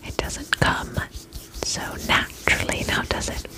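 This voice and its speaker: A woman doing an ASMR roleplay as a spa attendant in a breathy whisper, soothing and repeating short reassuring phrases. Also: unhurried.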